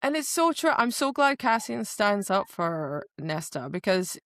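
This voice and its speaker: A woman's voice: mid-range voice, sing-song, measured pace, a bit bright, dry recording, studio quality. A woman co-hosting a podcast, talking casually about a book in an opinionated, emphatic, slangy way. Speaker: podcast co-host. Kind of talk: casual book discussion chat.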